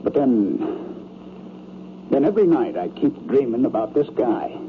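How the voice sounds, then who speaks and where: Blunt, uneasy man, swooping pitch, tinny radio. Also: very animated. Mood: earnest confiding. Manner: streetwise, halting, defensive, colloquial speech. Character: blunt, uneasy rich man confiding in his psychiatrist. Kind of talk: old-time radio drama acting. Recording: tinny, noisy old radio broadcast.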